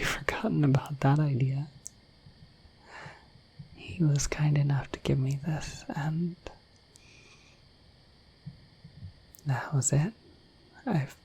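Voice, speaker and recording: A woman voicing a shy, affectionate dorky dragon in an ASMR roleplay, speaking in a gentle, hesitant, breathy whisper. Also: sits low, exaggerated voice, poor audio. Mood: wistful, bashful. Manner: gentle, hesitant, slightly bashful, intimate.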